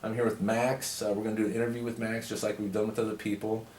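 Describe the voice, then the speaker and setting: A man's voice: lowish voice, flat delivery, fast, a little mellow, slight background noise. A man narrating his own documentary and then interviewing a guest with plain, straightforward questions.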